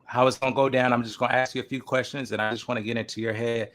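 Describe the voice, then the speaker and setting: A man's voice: medium pitch, little pitch movement, racing, a bit bright. A forty-year-old man hosting a casual podcast interview in a laid-back way, often repeating short affirmations.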